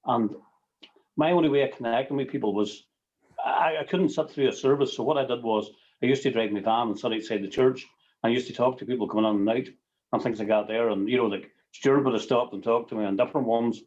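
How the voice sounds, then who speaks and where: Halting Northern Irish man, muffled call audio. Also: mid-range voice, a little mellow. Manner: halting, repeating words, tagging phrases with everyday sayings. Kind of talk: informal personal sharing on a group call.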